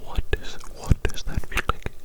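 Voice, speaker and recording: An ASMR performer playing an evil doctor in a breathy whisper, repeating phrases with clinical, condescending fondness for a tiny specimen. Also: close to an everyday voice. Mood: probing, pitying. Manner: slow repetitive murmuring, clinical yet doting. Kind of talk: ASMR medical roleplay.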